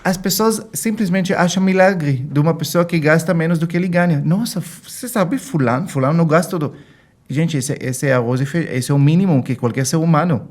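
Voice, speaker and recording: A man's voice: fairly high pitch, a little fast, a little mellow. A man from Israel with an Israeli accent, talking as an interview guest, explaining things emphatically and acting out bits of quoted dialogue. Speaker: guest financial consultant. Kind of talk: explanatory interview talk with anecdotes.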